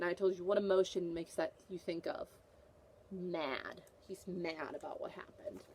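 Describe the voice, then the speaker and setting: A woman's voice: on the low side, a little mellow, a little hiss or hum, low-quality recording. A woman teacher reading a picture book aloud to children, with an instructive manner, repeating questions to prompt her young listeners.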